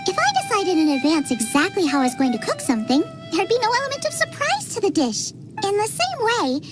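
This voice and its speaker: A woman's voice: extremely high pitch, wildly swooping pitch, fairly quick, exaggerated voice. A woman playing a cheerful, confident young female chef in a video game, with a perky, eager delivery. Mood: breezy, confident. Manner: perky, eager, self-assured. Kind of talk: animated video game voice acting. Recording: game music behind the voice.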